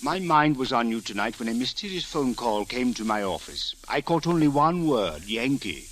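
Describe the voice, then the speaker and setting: An actor playing a courteous, philosophical police chief who speaks in formal, measured phrases in an old radio drama. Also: male voice, medium pitch, very animated, fairly bright tone. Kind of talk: 1940s-50s radio drama acting. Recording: hissy old radio recording.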